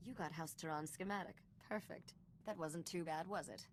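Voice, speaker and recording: Game role: sardonic female underworld contact played by a woman, lowish voice, teasing and businesslike, faint noise beneath. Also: moderate pace, close to an everyday voice. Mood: wry, teasing. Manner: dry, sardonic. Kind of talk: video game voice acting.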